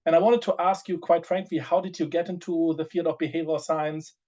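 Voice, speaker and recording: Moderator, male, slightly high voice, hesitant, lo-fi call audio. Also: flat delivery, fairly quick, darkish tone. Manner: hesitant, many fillers and restarts. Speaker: panel moderator. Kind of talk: webinar moderation.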